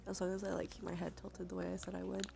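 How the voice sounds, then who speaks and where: A woman hosting a casual ASMR livestream, chatting softly and narrating what she does, with a breathy voice that often slips into a whisper. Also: lowish voice, completely flat delivery, rapid-fire. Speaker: livestream host. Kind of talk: casual ASMR stream chat. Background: background music.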